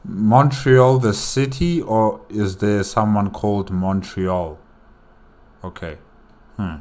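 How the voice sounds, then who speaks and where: A man's voice: on the low side, slow, warm tone, a little hiss or hum. A man reacting casually as a host, musing and asking questions aloud as he comments.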